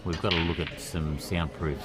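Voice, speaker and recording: A man with an Australian accent commentating a pool match in a relaxed, chatty way, with a quiet voice and many fillers. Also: sits low, normal speed, a loud crowd.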